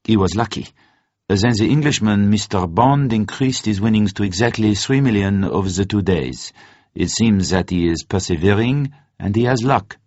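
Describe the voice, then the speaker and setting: A man's voice: fairly low pitch, normal speed, darkish tone, no echo. Man voicing a formal casino official, reporting figures. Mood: businesslike. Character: formal casino official reciting winnings at a meeting. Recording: dull, muffled, dry close recording.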